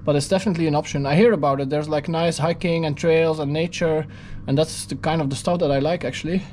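A man's voice: fairly high pitch, fairly even intonation, fast talker, darkish tone. Chatty man vlogging, noisy handheld sound. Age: 30s. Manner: chatty, thinking aloud. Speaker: travel vlogger. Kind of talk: casual spoken-as-it-happens travel vlog narration. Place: city street, then hotel lobby, lift, corridor and room. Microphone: action camera held at arm's length. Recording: noisy handheld camera audio with street and room ambience.

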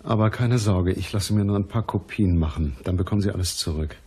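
An actor playing a businesslike, courteous private detective in a radio crime drama, with a husky voice and a measured, matter-of-fact manner. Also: male voice, sits low, mellow, slight background noise, close to an everyday voice. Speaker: narrator and lead actor.

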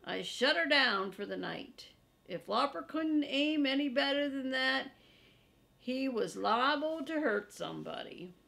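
A woman in her sixties reading a children's chapter book aloud in a folksy, deliberate way, as first-person narration. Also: high-pitched, lively intonation, creaky, bright, forceful tone. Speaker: storybook reader for children. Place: small furnished room indoors, seated in an armchair.

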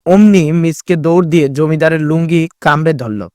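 Higher-pitched man narrating a story. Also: moderate pace, darkish tone, dry recording, studio quality.

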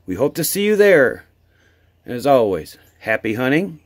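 A man with an American accent talks casually to his viewers as a hobby vlogger. His voice is husky and mid-pitched, with lots of rise and fall. He comes across as enthusiastic and appreciative. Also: very animated, normal speed. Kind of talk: casual chat to camera while opening mail.

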